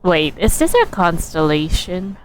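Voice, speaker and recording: A woman giving casual commentary as she streams a game. Her voice is fairly low and lively in pitch, at a slow pace. She muses to herself as she goes. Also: clean tone, rough recording. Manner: musing, talking to self. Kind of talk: casual game commentary, reading dialogue aloud.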